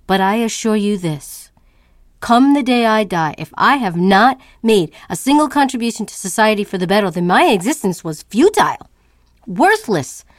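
A woman's voice: mid-range voice, no echo, clean studio sound, close to an everyday voice. A woman performing a restless, anxious artist's first-person monologue in a brooding, emphatic, theatrical way. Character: restless, anxious, self-questioning woman artist, neither old nor young.

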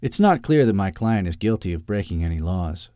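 Man playing a smooth, unflappable calculating lawyer. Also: sits low, sing-song, dark tone, no room sound, studio quality. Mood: reasoning. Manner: measured, coaxing, businesslike. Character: calculating, smooth-talking male lawyer, unflappable and self-satisfied.

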